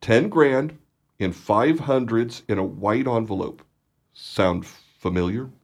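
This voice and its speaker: A man's voice: fairly low pitch, slow pace, gravelly, exaggerated voice. A man playing a cool, menacing gangster in charge, needling with questions in an old-time radio thriller. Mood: coolly menacing.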